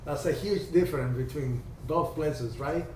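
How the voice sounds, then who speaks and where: Male host, storyteller, slightly high voice, roomy video-call sound. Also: darkish tone. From Cuba. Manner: chatty storyteller, halting restarts. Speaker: ham radio livestream host. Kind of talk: casual group video chat. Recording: distant roomy video-call audio, faint hiss.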